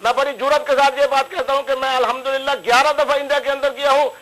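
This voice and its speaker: A man with a Pakistani Urdu accent argues as a cleric guest on a television debate. His voice sits unusually high and sounds bright and forceful. His formal, preachy religious diction comes through a narrow, radio-like remote line. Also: little pitch movement, fast talker. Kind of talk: heated TV debate.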